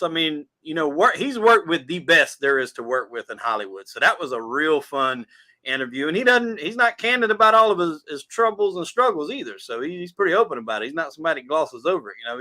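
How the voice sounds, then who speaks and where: A man's voice: a little high, expressive, fairly quick, bright, forceful tone. A man from South Carolina with a Southern drawl, a podcast host talking as a guest in a loose, profane, casual interview.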